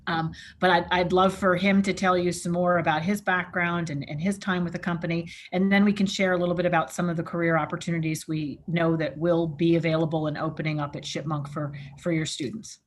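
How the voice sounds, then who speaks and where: Low, even-toned woman on a video call. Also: a little fast, few pauses, a little hiss or hum. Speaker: company manager introducing a colleague. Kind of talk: online info session introduction.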